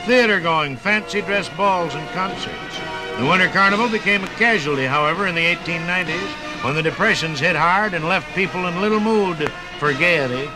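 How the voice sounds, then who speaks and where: American guy announcing on 50s radio, kinda high voice, folksy, music playing behind. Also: normal speed, rough recording. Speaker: radio narrator and sponsor announcer. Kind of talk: 1950s scripted radio announcing. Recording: 1958 radio program from LP record.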